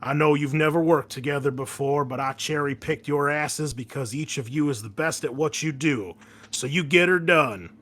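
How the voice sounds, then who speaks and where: A man voicing a gruff, foul-mouthed Marine commander giving emphatic orders in a tabletop game briefing. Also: fairly high pitch, moderate pace, fairly bright tone. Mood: fierce, commanding.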